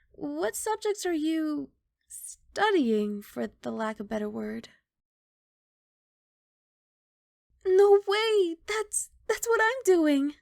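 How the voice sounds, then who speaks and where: A woman playing a young, sweet, apologetic giant dragon girl in an intimate ASMR roleplay, soft and coaxing with hesitant rambling. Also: high-pitched, creaky, no room sound, studio quality. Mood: excited. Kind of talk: ASMR roleplay, intimate one-sided dialogue.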